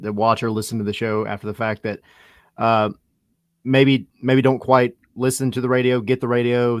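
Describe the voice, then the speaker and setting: Low-mid man interviewing, dry sound. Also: no room sound. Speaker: podcast co-host, interviewer. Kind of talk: casual interview.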